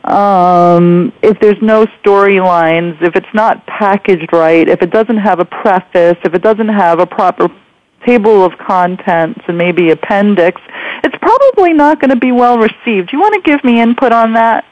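High-voiced male host, dull lo-fi sound. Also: normal speed, dry recording. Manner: effusive, hesitant phrasing. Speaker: podcast host, interviewer. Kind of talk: casual interview hosting.

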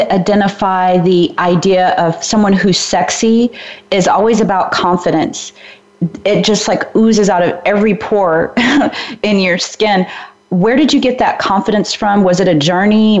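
A woman's voice: mid-range voice, darkish tone, lo-fi sound. A woman hosting an interview, asking curious, encouraging questions and listening with frequent affirmations. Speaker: interview host. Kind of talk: conversational interview.

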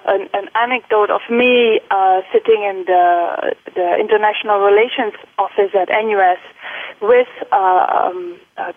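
A woman in her forties with a Dutch accent, a little British and American in it, speaking at a middle pitch. She talks haltingly, with many ums and restarts. The sound is thin and tinny, like a phone line, with no room echo. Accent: Dutch, with British and American touches. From Netherlands. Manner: hesitant, many fillers and restarts. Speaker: guest, college faculty member. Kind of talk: interview conversation.

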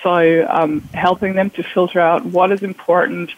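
A man's voice: high voice, little pitch movement, moderate pace, narrow, tinny audio. A man from Germany, a consultant guest explaining things on a radio show with frequent ums. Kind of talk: radio interview answers.

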